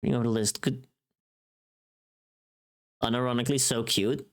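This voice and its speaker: A woman's voice: a bass voice, fairly even intonation, moderate pace. A woman livestreamer chatting casually in a playful, teasing, informal way, often thinking aloud and trailing off.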